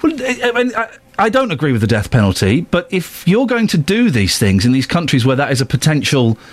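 Man, radio host, high lively voice, blunt teasing chat, dry studio mic. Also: a little fast, dry recording. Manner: blunt, teasing, stumbling restarts. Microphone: studio microphone.

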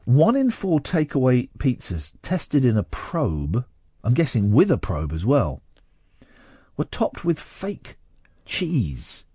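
A man's voice: medium pitch, huge pitch swings, takes it slowly, dark tone, breathy edge, no room sound, studio quality. Playful man hosting late-night radio. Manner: playful, teasing. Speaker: radio host. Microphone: studio microphone.

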